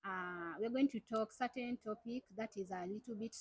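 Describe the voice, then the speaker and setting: Woman, guest, halting speech, husky tone, dry sound. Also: a little high, dry recording. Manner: halting, restarts phrases often. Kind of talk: casual chat.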